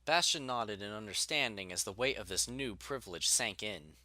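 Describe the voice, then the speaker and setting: Male storybook narrator, animated intonation, crisp forward tone, very dry sound. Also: on the low side, moderate pace, dry recording.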